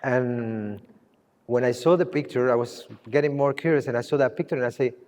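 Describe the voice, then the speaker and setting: A man who grew up in Spain, speaking English as a second language, talks about his art in a halting way, often restarting and searching for words. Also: medium pitch, darkish tone, long reverb tail. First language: Spanish. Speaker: artist. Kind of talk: gallery conversation.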